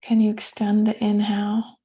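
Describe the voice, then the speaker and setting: A woman leading a guided meditation as a teacher, speaking gently and soothingly with pauses between phrases. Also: medium pitch, completely flat delivery.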